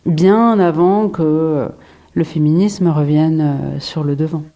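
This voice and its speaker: A woman's voice: deep voice, takes it slowly, dark tone. Hesitant French woman in her forties. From France. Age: 40s. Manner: hesitant, many fillers and restarts. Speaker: photographer, interview guest. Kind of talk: reflective interview answers.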